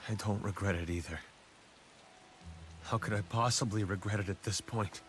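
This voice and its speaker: Low-voiced, weary, resolute man played by an actor. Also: normal speed, fairly bright tone, slight background noise, natural voice. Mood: sorrowful. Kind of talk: English video game dub drama.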